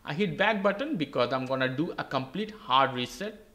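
A man with a fairly high, very bright and forceful voice walks through a how-to as a tutorial host. He uses short, repeated instructions in non-native English phrasing. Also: animated, moderate pace. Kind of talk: step-by-step how-to narration. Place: indoors at a desk with a laptop.

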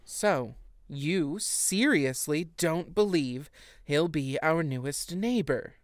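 A woman's voice: sits low, expressive, takes it slowly, dry recording, studio quality. An actress playing an exasperated fairy queen with a sarcastic, cutting manner in a modern audio drama. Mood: sardonic. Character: exasperated, sardonic fairy queen, sharp-tongued bickering wife.

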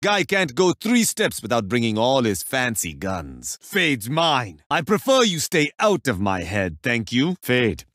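A man's voice: mid-range voice, huge pitch swings, measured pace, hardly any pauses, a bit bright, no echo, clean studio sound. A man with an Indian accent voicing a confident, playful young warrior in a video game, with teasing, theatrical delivery. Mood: playful banter. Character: confident, playful male warrior agent wielding water artifact. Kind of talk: video game character voice lines.

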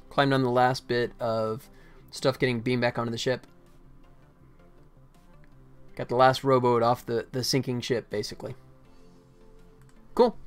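Rambling middle-aged man, casual livestreamer. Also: mid-range voice. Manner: rambling, self-deprecating, jokey. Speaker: indie game developer livestreamer. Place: indoor room at a computer. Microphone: desktop microphone.

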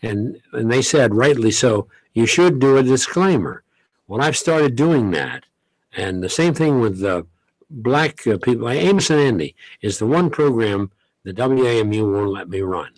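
An older man reminisces in a dry, close-miked radio studio. His speech is easy and anecdotal, in a middle register, dotted with soft chuckles. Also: mid-range voice, lively intonation, normal speed, no room sound, low-quality recording. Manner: chatty, anecdotal, chuckles often. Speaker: longtime radio show host, guest. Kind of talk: relaxed reminiscing studio talk. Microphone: studio mic, headphones.

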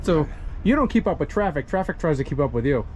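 Excitable high-voiced man interviewing outdoors. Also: fast talker, slight background noise. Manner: enthusiastic, laughs and exclaims often. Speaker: trucking vlogger and interviewer. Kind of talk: casual interview. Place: outdoors at a gravel truck show lot.

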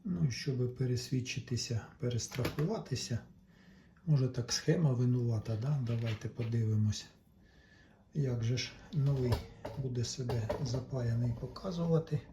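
A man giving casual hands-on repair commentary, talking to viewers in an unhurried way as he works. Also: mid-range voice, background noise. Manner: unhurried, talks along while working, addresses viewers. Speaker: electronics repair video maker.

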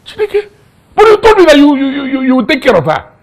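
A man's voice: extremely high pitch, wildly swooping pitch, quick pace, full character voice. A man acting a desperate, betrayed uncle in a film melodrama, with a Nigerian Igbo accent, stammering and repeating himself. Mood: confused, dismayed. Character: desperate, panicking uncle betrayed by his nephew, in hiding.

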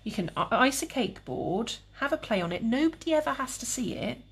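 Breathy woman teaching cake painting. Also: on the high side, brisk. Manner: calm, relaxed, chatty with viewers. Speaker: cake decorating tutor. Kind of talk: live demonstration with chat.

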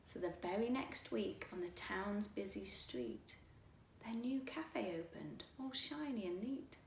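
A woman in her forties reading a rhyming children's storybook aloud with expressive, deliberate phrasing. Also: mid-range voice, vocal fry. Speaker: storytime reader. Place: living room, indoors. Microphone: camera a few feet away. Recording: echoey room, distant mic, faint noise.

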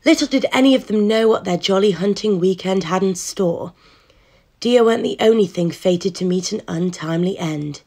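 A woman's voice: medium pitch, normal speed, warm tone, close to an everyday voice. A woman playing a wry storyteller, narrating a period murder mystery radio play. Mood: wry narration.

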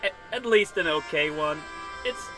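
A man's voice: a little high, lively intonation, measured pace, over music. A man playing a scrappy, defensive alley bum in an old-time radio comedy.

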